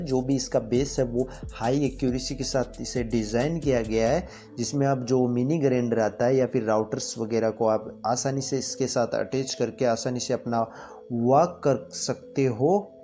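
A man's voice: mid-range voice, steady tone, moderate pace, warm tone, soft background music. A man giving a rambling voice-over review of woodworking tools, repeating himself often. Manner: rambling, repetitive filler-heavy explanations. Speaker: product reviewer.

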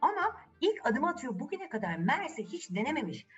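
A woman storyteller narrating a fairy tale for children in a theatrical, expressive way, addressing the young listeners directly. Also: high voice, a little fast, distant mic, lo-fi sound, over music.

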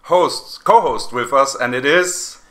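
Male podcast host, bright tense tone, jokey and giggly, stumbles over words. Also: medium pitch, slow. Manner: joking, giggly, hesitant restarts. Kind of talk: casual film review chat.

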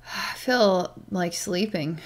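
A middle-aged woman thinking aloud as an animal communicator, speaking haltingly and meditatively with pauses. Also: lowish voice. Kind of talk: slow narration of a psychic session with pets, thinking aloud. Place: small plain room indoors.

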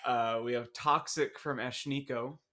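A man with a medium-pitched voice chats as a podcast co-host. His manner is playful and joking, recorded on a microphone in a small room. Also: steady tone, unhurried, bright, forceful tone. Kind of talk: casual chat. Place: small furnished room at home, indoors.

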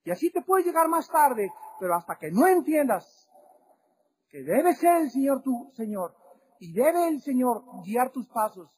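A man preaching an impassioned sermon that rises to shouts. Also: very high-pitched, very animated, a little slow, a little hiss or hum, rough recording.

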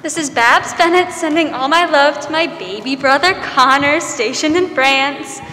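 A woman's voice: high voice, measured pace, sharp tone, a little hiss or hum. A teenage girl playing a feisty, loving big sister in a 1940s radio play. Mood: loving, spirited. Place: theater stage. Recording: echoey hall, faint music.

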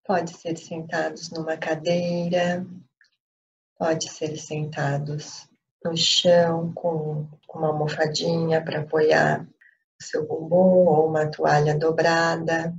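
A woman with a Brazilian accent leading a guided meditation in a calm, unhurried way with pauses. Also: low voice, vocal fry. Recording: dull lo-fi audio, faint noise.

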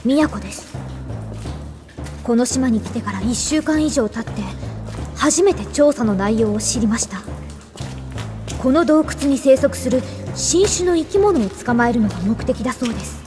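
A woman's voice: a little high, animated, moderate pace, music in the background, close to an everyday voice. A woman playing a dry, prickly young female researcher in an anime audio drama. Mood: dry, polite. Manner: polite dry narration, snappy retorts.

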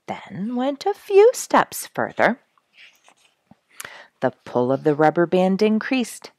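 A middle-aged woman reading a storybook aloud with expressive, deliberate, emphatic phrasing. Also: deep voice, vocal fry, no room sound, clean studio sound. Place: small quiet room indoors, seated in an armchair.

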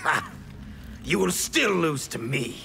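An actor playing a stern, scornful ninja warrior in a video game, accusing and forceful. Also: male voice, fairly high pitch, big pitch swings, slow, creaky, background noise, exaggerated voice. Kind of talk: video game character dialogue. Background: game music.

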